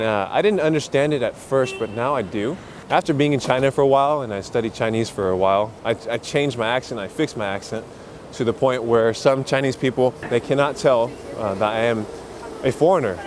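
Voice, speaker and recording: A man talking casually as he walks and explains things as an English teacher, full of uh and you know. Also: mid-range voice. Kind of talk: casual walking vlog. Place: busy city streets outdoors. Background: faint street and traffic noise.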